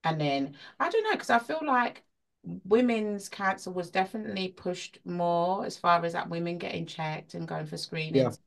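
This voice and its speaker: A woman co-hosting a casual talk show, chatty and relaxed, with frequent fillers. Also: on the low side, normal speed, gravelly. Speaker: co-host. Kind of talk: casual chat.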